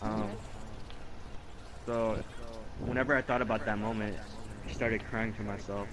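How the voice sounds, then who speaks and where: A man telling an improvised story casually during a game, rambling and halting as he corrects himself. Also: on the low side, little pitch movement, background noise.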